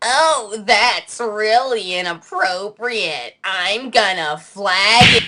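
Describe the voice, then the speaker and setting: A woman's voice: medium pitch, very animated, extremely slow, pushed and bright, slight background noise, exaggerated voice. A woman voicing a prim, disapproving cartoon onlooker. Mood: shocked, disapproving.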